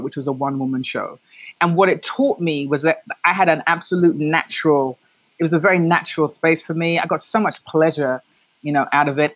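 A woman with a London British accent telling stories as an interview guest, in an expressive, emphatic conversational way. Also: deep voice, no room sound. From London, England. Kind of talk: reflective conversational storytelling.

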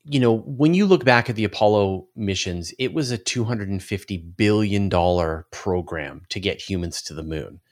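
A man giving explanatory answers as an interview guest on a podcast, halting as he thinks aloud. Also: on the low side. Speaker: interview guest, space commentator.

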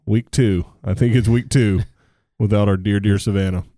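A man's voice: fairly low pitch, steady tone, moderate pace, warm tone, no echo. A middle-aged American man co-hosting a podcast in casual chat, joking, sarcastic and rambling. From United States. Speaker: podcast co-host.

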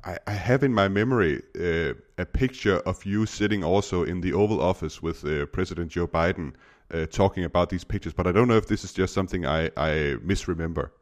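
Low-voiced Danish host, halting speech. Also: male voice. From Denmark. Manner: hesitant, many uh fillers. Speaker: podcast host. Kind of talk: interview podcast.

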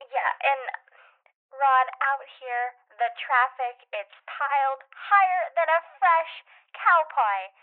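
Slow, spacey phone-in radio fan. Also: female voice, high voice, no room sound. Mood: adoring. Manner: drawn-out, rambling delivery. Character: slow, spacey, folksy female radio caller, adoring fan. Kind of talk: radio drama acting. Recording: tinny phone line to radio.

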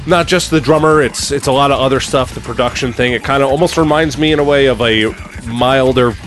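A man's voice: medium pitch, brisk, a bit bright. Man chatting on a music podcast. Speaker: podcast co-host. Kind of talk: casual music review chat.

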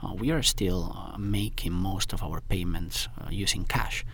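A man's voice: low voice, a little slow, creaky, fairly bright tone, a little hiss or hum. A man from Spain with a Spanish accent, a podcast guest who talks casually, with many restarts and fillers. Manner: stammering restarts, many "I mean" and "uh". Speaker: podcast guest, fintech executive.

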